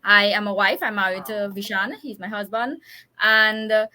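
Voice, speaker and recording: A woman's voice: medium pitch, steady tone, moderate pace, pushed and bright. A Trinidadian woman in her thirties speaking as a guest in a casual live chat, chatty and explanatory. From Trinidad and Tobago. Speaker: interview guest, business owner.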